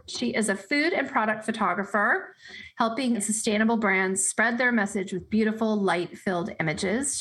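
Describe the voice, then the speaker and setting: A woman's voice: mid-range voice, a bit bright. A woman hosting a podcast interview, upbeat and encouraging as she introduces and questions her guest. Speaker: podcast host.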